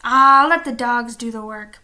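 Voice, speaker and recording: A woman voicing a gruff, bossy male pirate captain who growls out orders. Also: fairly high pitch, unhurried, pushed and bright.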